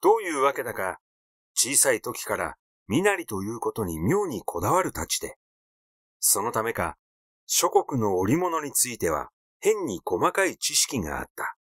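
A man reading literary fiction aloud as a narrator, in a measured storytelling delivery. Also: mid-range voice, sing-song, fairly bright tone, dry recording, clean studio sound. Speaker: audiobook narrator.

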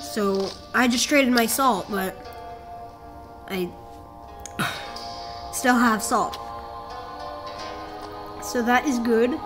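Woman doing a chill game walkthrough, kinda high voice, takes her time, restarts a lot. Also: extremely slow, pauses often. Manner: rambling, self-correcting, instructive. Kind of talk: casual game walkthrough commentary. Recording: game music playing behind the voice.

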